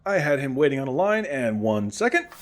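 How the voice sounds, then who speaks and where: A man's voice: mid-range voice, very animated, fairly quick, slight background noise, close to an everyday voice. A man playing a cheerful post-apocalyptic radio host in a comedic audio drama, earnest and encouraging. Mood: upbeat, announcing. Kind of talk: scripted comedic radio show hosting.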